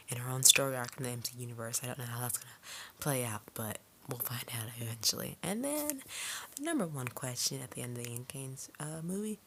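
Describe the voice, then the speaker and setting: A woman's voice: very deep voice, gravelly, slight background noise. A woman giving a casual, conversational movie review in an informal, rambling way, recorded at home. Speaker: video reviewer.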